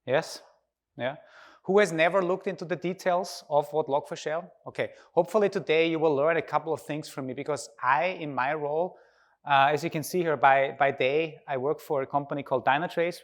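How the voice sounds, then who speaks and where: A man from Austria with an Austrian accent and native German, speaking informally as he gives a conference talk and engages his audience with questions. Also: on the high side.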